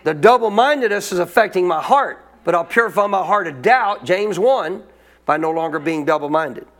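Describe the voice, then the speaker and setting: A man with a high-pitched voice preaching a sermon. He teaches as a pastor in a loose, colloquial way. He keeps prompting the congregation to answer back. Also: animated, moderate pace, a bit bright. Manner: call-and-response preaching, colloquial.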